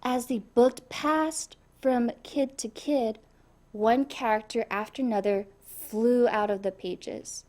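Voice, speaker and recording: Slow, high-voiced woman reading to children. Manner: expressive, addresses child listeners. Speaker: storybook reader. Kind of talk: animated children's read-aloud.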